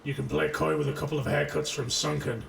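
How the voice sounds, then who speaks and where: An actor playing a brusque, confident lawman in a cyberpunk noir audio drama, with a gravelly, creaky voice. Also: a little high, steady tone, fast talker, fairly bright tone. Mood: brusque, cocky. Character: big hairy swaggering lawman, a no-nonsense sector marshal. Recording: distant, echoey, faint noise.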